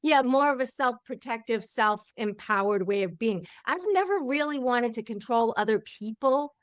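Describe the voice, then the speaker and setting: A middle-aged woman hosting a podcast, talking in a candid, reflective way with a loud voice that carries. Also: fairly high pitch, expressive, moderate pace, no room sound, studio quality. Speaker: podcast host. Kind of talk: casual interview chat.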